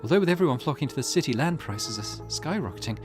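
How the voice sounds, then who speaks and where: Man reading as a weary middle-aged mother, lively pitch. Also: very fast, music in the background, close to an everyday voice. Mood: reflective. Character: weary, grieving middle-aged mother, old-fashioned and anxious. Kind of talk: visual novel character read aloud.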